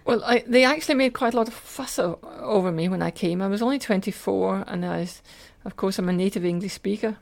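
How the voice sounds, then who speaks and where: A woman's voice: medium pitch, brisk, creaky, hardly any pauses, darkish tone. An older woman with a Scottish accent, telling personal stories in an earnest, detailed way as an interview guest. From Scotland. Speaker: podcast guest, teacher and lecturer.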